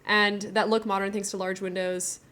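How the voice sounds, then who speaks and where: A woman streams a building game and chats as she goes. She thinks out loud in a casual, giggly way, at a middling pitch. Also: flat delivery, normal speed. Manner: chatty, thinking aloud, giggly.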